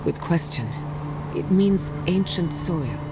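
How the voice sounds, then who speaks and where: A woman's voice: on the low side, lively intonation, takes it slowly, heavy background noise. A woman playing a confident, wry, adventurous scholar and guide in a video game, explaining things articulately. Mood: eager, wry. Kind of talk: scripted video game acting. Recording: reverberant hall under loud music.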